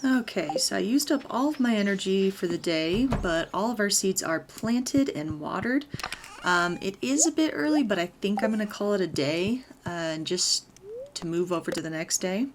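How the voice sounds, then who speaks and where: A woman's voice: mid-range voice, animated, moderate pace, background noise. A woman hosting a gaming video, giving chatty, explanatory let's play commentary in a casual style. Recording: background music under the voice. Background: game music.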